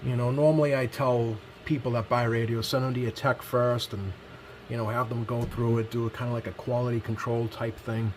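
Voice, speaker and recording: A man's voice: mid-range voice, flat delivery, brisk, a little hiss or hum, poor audio. A man giving a casual, off-the-cuff hands-on radio review, speaking hesitantly and often repeating himself. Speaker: product reviewer.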